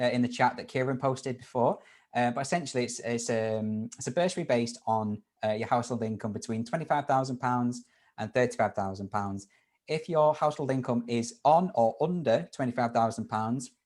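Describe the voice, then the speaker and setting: A man's voice: medium pitch, darkish tone. A man hosting a university webinar Q&A on a video call, upbeat and informal with lots of fillers and restarts. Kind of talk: live webinar Q&A.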